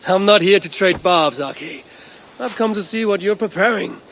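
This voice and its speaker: An actor playing a proud, steady Norse warrior leader in a video game, with a gravelly voice and measured, resolute delivery. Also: male voice, high-pitched, slight background noise. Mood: teasing. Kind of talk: dramatic video game acting.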